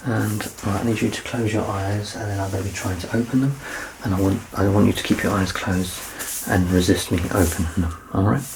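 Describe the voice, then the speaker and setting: A man in his thirties speaks in a deep, softly creaking voice, gently guiding a patient in an ASMR medical roleplay. He is reassuring and self-deprecating, often dropping near a whisper. There is clear background noise behind him. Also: steady tone, a little fast. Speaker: ASMR roleplayer playing a doctor. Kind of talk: soft-spoken ASMR medical exam roleplay.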